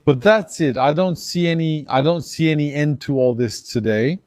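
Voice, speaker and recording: A man's voice: on the high side, moderate pace, no room sound, clean studio sound. A man from Algeria giving an emphatic opinion monologue to his viewers, acting out dialogues. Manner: animated, emphatic, acts out dialogues. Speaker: commentator.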